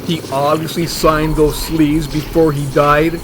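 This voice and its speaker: An actor plays a stubborn, fussy male monster hunter in a comedy audio drama. He is defensive and obtuse, with a slightly high voice over clear background noise. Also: level tone, unhurried, close to an everyday voice. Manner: defensive, obtuse, matter-of-fact.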